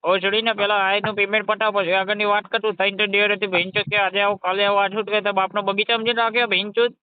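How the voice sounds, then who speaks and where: A man's voice: high voice, level tone, fast talker, smooth, dry recording, natural voice. A man playing an exasperated, foul-mouthed garage mechanic, scolding impatiently in a scripted comedy phone call. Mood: angry, swearing. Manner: scolding, impatient, swearing constantly. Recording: dull lo-fi phone call recording.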